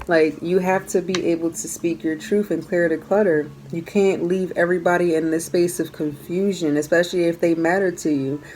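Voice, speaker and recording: A woman giving a casual tarot reading to her viewers, talking earnestly and repeating her phrases, her voice going hoarse. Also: on the low side, a little mellow, a little hiss or hum, poor audio. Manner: earnest, repeats phrases while coaching the listener. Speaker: tarot reader.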